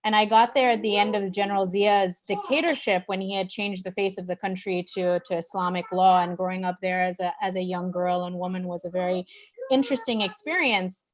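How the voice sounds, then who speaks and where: A woman's voice: mid-range voice, fairly quick. A woman from Pakistan, a panelist introducing herself in a discussion, talking reflectively with frequent "um"s.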